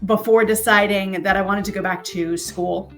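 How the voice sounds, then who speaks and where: A woman's voice: mid-range voice, fairly even intonation, normal speed, soft background music. An American woman around forty, from Connecticut, talking casually as an interview guest on a video call and telling her own story. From Connecticut, United States. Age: 30s or 40s. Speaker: interview guest, author and teacher.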